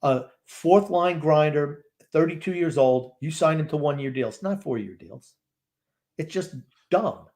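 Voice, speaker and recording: A man's voice: fairly high pitch, measured pace, a little mellow. A man co-hosting a live game watchalong podcast, blunt and complaining. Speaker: podcast co-host.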